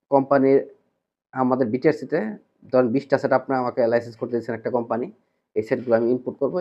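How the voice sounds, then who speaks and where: A muffled, lo-fi recording carries a Bangladeshi man's medium-pitched voice. He explains things informally, like a sales representative talking to customers. His delivery is conversational and repetitive, with English words mixed in. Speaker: shop representative presenting to customers.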